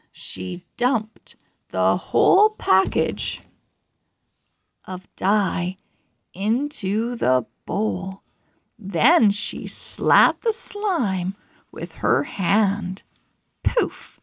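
A woman reading a children's storybook aloud in an expressive, deliberate way. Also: on the high side, vocal fry, no room sound, studio quality. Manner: expressive, deliberate word-by-word delivery. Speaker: storybook reader. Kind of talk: children's read-aloud narration.

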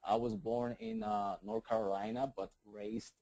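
A man's voice: fairly low pitch, little pitch movement, measured pace, dark tone, dry recording, lo-fi sound. A man in his thirties with a Puerto Rican accent, a guest talking eagerly in an interview, often repeating words and asking "right?". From Puerto Rico. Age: 30s. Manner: enthusiastic, repeats words, many "right?" tags. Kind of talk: casual interview talk.

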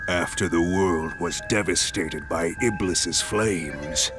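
Evil hedgehog demon game villain, super low slow menacing voice, music behind. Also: male voice. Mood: sinister, coaxing. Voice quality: deep voice. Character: sinister calm dark male hedgehog demon villain. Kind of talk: dramatic video game cutscene acting.

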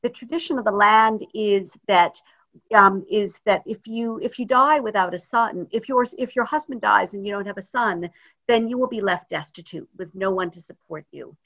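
Woman rabbi teaching over zoom, kinda muffled lo-fi sound, mid pitch, thinks as she goes. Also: moderate pace, fairly bright tone. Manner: thoughtful, searching for words. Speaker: rabbi, guest speaker. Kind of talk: informal teaching talk. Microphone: video call.

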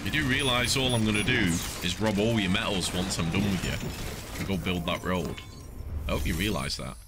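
A man's voice: low-pitched, moderate pace. A middle-aged man giving casual, playful, mock-indignant commentary as he livestreams a video game. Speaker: livestreaming gamer. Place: small indoor streaming setup, wearing headset. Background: game music and vehicle sounds.

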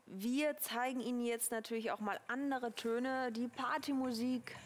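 Chatty, higher-pitched female shopping host. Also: fairly even intonation, unhurried. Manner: chatty, persuasive. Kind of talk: live sales pitch addressed to viewers.

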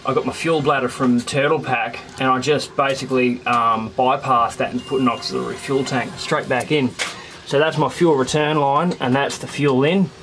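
A man's voice: medium pitch, fairly even intonation, moderate pace, a bit bright, slight background noise. Laid-back Australian man explaining things. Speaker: sailing vlogger. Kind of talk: casual explanatory vlog talk. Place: aboard a small sailboat, in the cabin and on deck.

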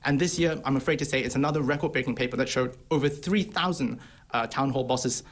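A young man in his twenties speaks on a news interview over a video call from an office. He delivers his talking points quickly and assertively. His voice has a slightly husky edge. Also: medium pitch. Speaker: think-tank researcher. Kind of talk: remote interview commentary. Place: office room.

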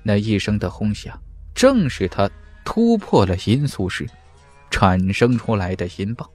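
A man's voice: fairly low pitch, sing-song, measured pace, a little mellow. A man reading scripted fantasy narration dramatically as an audiobook narrator. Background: background music.

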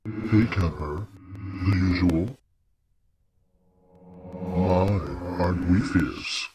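A man voicing a menacing, mocking alien in a cartoon, with a pitched-down voice and backward reverb effect. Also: very slow, noisy background. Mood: sly, mocking.

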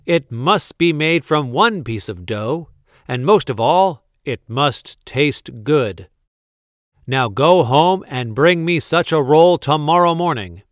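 Guy doing a bossy angry duke from a kids storybook, loud commanding delivery, dry sound. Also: on the high side, steady tone, extremely slow, few pauses, clean tone, dry recording, voice pushed up. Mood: stern, commanding. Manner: roaring, commanding, emphatic. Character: imperious, hot-tempered Duke, booming and demanding. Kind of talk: storybook read-aloud character voice.

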